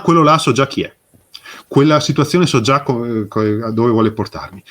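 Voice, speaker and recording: Husky man, animated and emphatic. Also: mid-range voice. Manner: emphatic, rhetorical questions with dramatic pauses. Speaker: interview guest, fairy tale expert. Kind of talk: impassioned explanatory interview talk.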